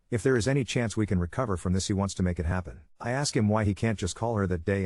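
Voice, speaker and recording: A man with a fairly low voice reads an online story aloud at a quick clip. His delivery is brisk and even, as he narrates a first-person account. The sound is dry and close, with no room around it. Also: dry recording.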